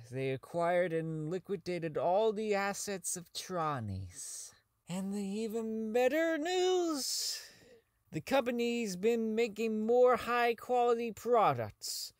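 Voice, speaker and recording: Man acting a high-voiced, bitter, unraveling engineer. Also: fairly bright tone, dry recording, heavily acted. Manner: rambling, stammering, profane, prone to outbursts. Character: socially inept, bitter male robotics engineer unraveling toward insanity. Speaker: voice actor in a fan-made audio drama. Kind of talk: dramatic monologue as a recorded log.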